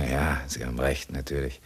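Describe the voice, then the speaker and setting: An actor playing an escaped criminal in a 1960s German radio crime drama, cool and sly, with a suave, mockingly courteous manner. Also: male voice, very deep voice, flat delivery, husky, full character voice. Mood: cool, scheming. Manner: suave, sardonic, unhurried.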